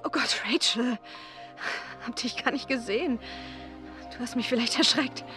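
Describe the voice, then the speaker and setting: A woman's voice: a little high, normal speed, music in the background, close to an everyday voice. A woman playing a flustered neighbour. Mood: startled. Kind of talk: radio drama acting.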